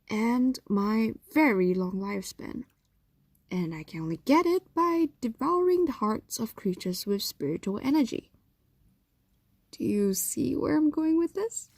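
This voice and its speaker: Woman acting a possessive, slow-spoken fox demoness. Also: fairly high pitch, sing-song, creaky, no echo, heavily acted. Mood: patient explaining. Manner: coaxing, hesitant explanations with whispers and sighs. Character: possessive, doting yandere female fox demon, ancient and predatory. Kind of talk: intimate audio roleplay acting, addressed to a lover.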